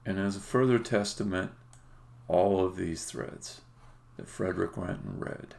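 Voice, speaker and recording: A man's voice: sits low, measured pace, gravelly, darkish tone. A man streaming live coding as a game developer, talking casually and bluntly in unscripted, sometimes sweary fragments as he thinks aloud. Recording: faint background noise, keyboard clicks.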